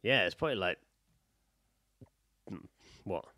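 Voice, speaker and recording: A man's voice: deep voice, lively intonation, unhurried, sharp tone, no echo. Man, dry close podcast sound. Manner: sweary, informal. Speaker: podcast co-host. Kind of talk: casual banter, reading out odd news stories.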